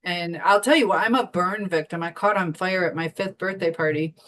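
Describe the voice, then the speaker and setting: A woman's voice: fairly low pitch, brisk, fairly bright tone, slight background noise. A woman talking casually as an interview guest on a video call, chatty and full of fillers. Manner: chatty, many fillers and restarts. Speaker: community founder guest.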